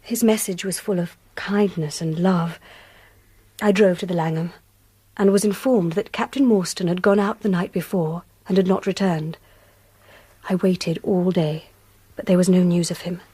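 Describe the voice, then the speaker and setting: A woman's voice: fairly low pitch, fairly even intonation, moderate pace, dark tone, husky, dry recording. A woman acting a reserved, well-bred young Victorian governess with an English accent, composed and precise, in a radio drama. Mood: grave, sorrowful.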